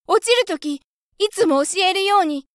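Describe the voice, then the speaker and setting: Woman doing a high tearful mother mouse. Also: animated, moderate pace, no echo, clean studio sound. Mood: anxious, pleading. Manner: pleading, very polite.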